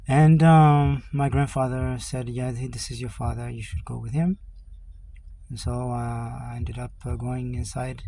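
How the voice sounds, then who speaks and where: A man in his forties from Ethiopia, telling his life story to the camera in a halting way, with many fillers and restarts. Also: mid-range voice, creaky, warm tone, slight background noise, rough recording. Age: 40s. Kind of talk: slow personal storytelling to camera. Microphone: camera mic.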